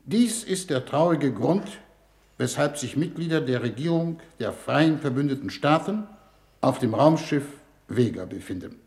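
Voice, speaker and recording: A man playing a grave, authoritative foreign minister, speaking formally and with measured oratory in a 1950s radio drama. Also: mid-range voice, animated, darkish tone. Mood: grave, solemn.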